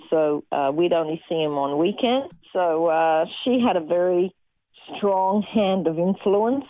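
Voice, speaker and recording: A woman's voice: low voice, no echo. Woman from australia, earnest testimony, thin phone-like sound, dry. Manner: earnest, many fillers and pauses. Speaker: guest. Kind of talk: personal testimony interview.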